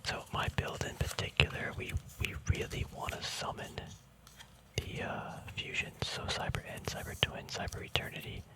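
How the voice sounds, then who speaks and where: A man whispering casual ASMR to viewers in a breathy, unvoiced voice, rambling and thinking aloud without hurry, very close to the mic. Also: moderate pace. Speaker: ASMR host. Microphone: mic very close to the mouth and nose.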